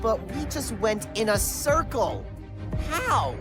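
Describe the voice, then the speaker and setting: A woman's voice: fairly high pitch, lively intonation, slow. A woman voicing a cocky, upbeat young male hedgehog adventurer in a fan comic dub, playful and breezy. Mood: baffled, excited. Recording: loud music behind.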